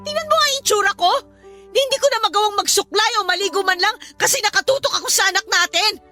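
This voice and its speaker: A sleepless young mother at the end of her rope, her voice pitched very high and sharp as she scolds through tears. Soft music plays under the scene of this radio drama. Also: fast. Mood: tearful. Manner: shrill, scolding, tearful. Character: exhausted young mother, sharp-tongued and resentful. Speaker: voice actress. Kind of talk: Tagalog radio drama acting.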